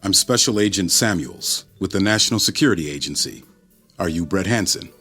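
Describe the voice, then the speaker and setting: Menacing federal agent: deep, husky, officious and icy, radio drama delivery. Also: male voice, lively intonation, bright, forceful tone, soft background music, heavily acted. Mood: coolly official. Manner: formal, officious, measured. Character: cold, menacing male government agent.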